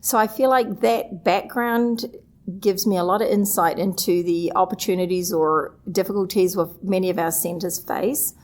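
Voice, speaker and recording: A woman's voice: lowish voice, fairly even intonation, normal speed, a little mellow. A middle-aged woman from New Zealand with a New Zealand accent, earnestly listing her experience as a guest in a live conference interview. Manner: earnest, lists points one after another. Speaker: guest, association executive director.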